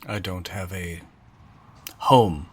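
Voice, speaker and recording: A man playing a reserved, straightforward wandering adventurer in a fantasy game, speaking in short, plain, halting phrases. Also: low voice, slightly rough, voice pushed down, heavily acted. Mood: guarded, plain. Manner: terse, plain, halting speech.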